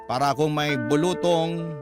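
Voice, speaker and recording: A male radio narrator reads a listener's letter aloud in the first person, earnest and dramatic. His voice sits a little high for a man, and loud instrumental music plays under him throughout. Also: moderate pace.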